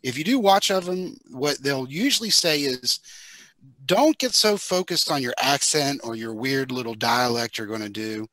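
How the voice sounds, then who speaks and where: A middle-aged man talking casually on an online panel through a headset, halting as he thinks aloud. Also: medium pitch, expressive, sharp tone, no echo, low-quality recording. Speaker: panelist, audio drama maker. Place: dim room at home, video call. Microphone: headset on a video call.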